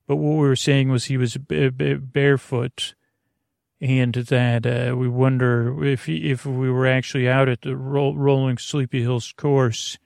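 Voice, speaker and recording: A man with a notably creaky, fry-laden voice at an average male pitch hosts a sleep podcast. He meanders and stops mid-thought to start again, drifting off on digressions. The sound is dry, as from a small closet at home. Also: no room sound. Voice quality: creaky, gravelly. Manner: halting, digressive, constantly restarting mid-thought. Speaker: host of a bedtime sleep podcast. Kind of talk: rambling, meandering bedtime monologue.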